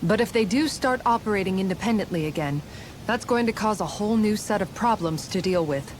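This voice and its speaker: A woman's voice: mid-range voice, lively intonation, fairly quick, darkish tone, a little hiss or hum, lightly acted, rough recording. A woman playing a principled, protective young heroine in a video game, explaining things earnestly. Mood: concerned. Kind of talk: video game cutscene acting.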